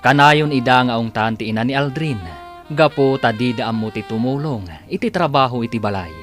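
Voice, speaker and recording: A man's voice: medium pitch, brisk, natural voice. A man narrates a radio drama serial in a dramatic announcing style over music. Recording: music under the voice. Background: musical instruments.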